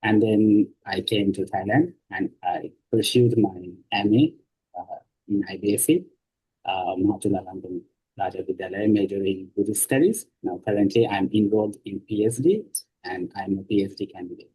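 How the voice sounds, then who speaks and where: Husky, mellow man from Nepal. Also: on the low side, normal speed, rough recording. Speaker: panelist, doctoral student. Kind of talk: formal self-introduction on a video call. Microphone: video call.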